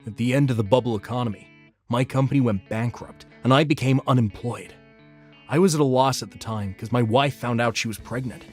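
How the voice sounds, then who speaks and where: A man's voice: medium pitch, a little fast, a little mellow, slightly rough, faint music behind, lightly acted. A man narrating a scripted first-person story as a married man who lost his job. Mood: matter-of-fact.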